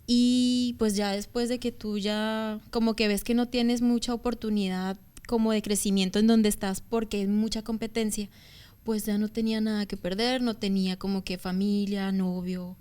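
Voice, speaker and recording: A woman in her thirties with a Bogotá Colombian accent, speaking in a smooth, resonant voice at a middle pitch. She talks as an interview guest, chatty, pausing on fillers and restarting her sentences. The sound is a television studio interview. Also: a little mellow. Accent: Bogotá Colombian Spanish. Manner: talkative, hesitant fillers, frequent restarts. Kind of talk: conversational interview testimony.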